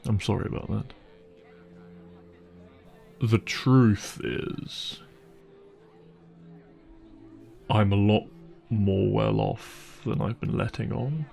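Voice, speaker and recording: A wealthy young boyfriend, played by a man, speaks softly and intimately in an audio roleplay. His voice is on the low side with a noticeable fry, and music plays faintly behind him. He comes across as caring, apologetic and a little hesitant. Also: fairly even intonation, takes it slowly, long pauses, exaggerated voice. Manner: gentle, hesitant, apologetic, reassuring.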